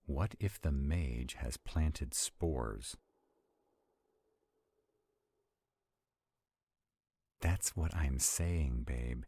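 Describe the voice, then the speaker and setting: A man's voice: very deep voice, very slow, warm tone, slightly rough, no room sound, full character voice. A man playing an arrogant, skeptical and protective vampire in a roleplay audio drama, haughty and commanding. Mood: stern, dismissive. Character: arrogant, skeptical, protective male vampire, irritable under strain.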